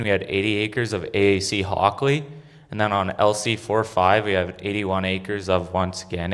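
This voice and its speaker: Lower-voiced young man, hall echo. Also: a bit bright. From Saskatchewan, Canada. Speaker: agriculture student presenter. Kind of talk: class presentation from slides.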